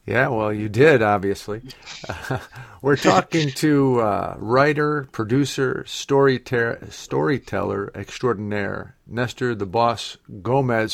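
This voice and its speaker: A man's voice: medium pitch, vocal fry, a bit bright, dry recording, studio quality. A man hosting an interview, asking questions in a friendly, easy way. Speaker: interview host. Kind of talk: casual interview.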